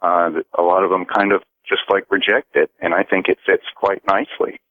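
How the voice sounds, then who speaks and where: A man calling a radio show by phone, speaking earnestly in a halting, thoughtful way. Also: low-pitched, level tone, dry recording. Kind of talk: earnest call-in conversation. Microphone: telephone. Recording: narrow phone-line sound.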